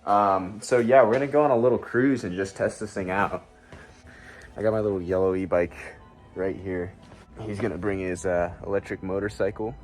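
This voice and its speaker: A man's voice: fairly low pitch, steady tone, normal speed, faint music behind. A man giving a casual product review to his viewers in informal, slangy language. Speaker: product reviewer and video host.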